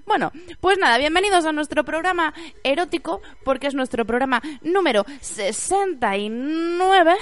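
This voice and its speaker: Hoarse, giggly Spanish woman host. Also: sits high, expressive, normal speed, hardly any pauses. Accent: Spanish from Spain. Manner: playful, giggly, chatty. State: hoarse from pharyngitis. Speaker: podcast host. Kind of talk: casual radio chat.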